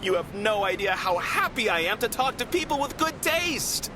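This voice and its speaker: A man's voice: sits high, big pitch swings, moderate pace, noisy background. A man playing a fussy, proud theater manager in a video game, speaking formally with emphatic indignation. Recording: loud game music behind. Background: game music.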